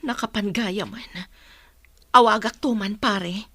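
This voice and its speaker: A woman playing a concerned, patient mother in an audio drama, speaking in an earnest, measured way. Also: medium pitch, sharp tone, heavily acted.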